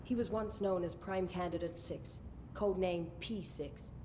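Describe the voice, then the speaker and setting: An actress playing a stern, secretive senior agency official in a video game, formal, clipped and matter-of-fact. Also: female voice, lowish voice, fairly even intonation, unhurried, noisy background, natural voice. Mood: grave, measured. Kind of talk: video game cutscene dialogue.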